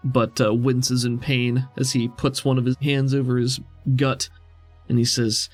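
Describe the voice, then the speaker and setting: A man's voice: medium pitch, level tone, a little slow. A man narrating a tabletop roleplaying game as game master, conversational and vividly descriptive. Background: faint music.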